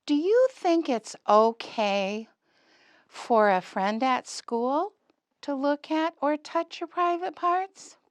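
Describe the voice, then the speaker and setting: A woman's voice: high voice, expressive, slow, no room sound, voice pushed up. A woman voicing a friendly boy puppet in a children's show, earnestly asking simple questions and explaining things to young children.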